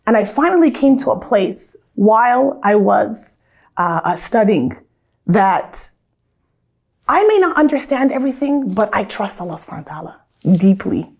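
A woman's voice: medium pitch, big pitch swings, moderate pace, a little mellow. An American woman from Southern California, in her thirties, reflecting earnestly on her life in a casual, storytelling way, with frequent restarts.

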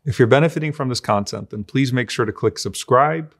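A man's voice: mid-range voice, a little fast. Man reading a plain channel outro. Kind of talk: short recorded outro.

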